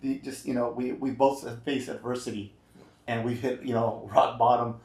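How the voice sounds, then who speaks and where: A man hosting a casual interview show, energetic and loose, often ending lines with "man" and "right?". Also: medium pitch, normal speed, echoey room. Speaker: podcast host.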